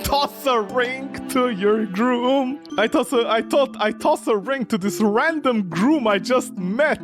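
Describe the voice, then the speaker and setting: A man doing casual, animated commentary on a game, reacting with mocking disbelief and reading bits aloud. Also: extremely high pitch, slow pace, over music. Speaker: gaming video host.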